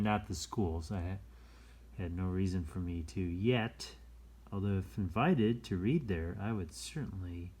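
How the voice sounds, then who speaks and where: Male storytime reader, low register, very unhurried, easygoing and chatty with explanatory asides. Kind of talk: casual read-aloud with chatty asides.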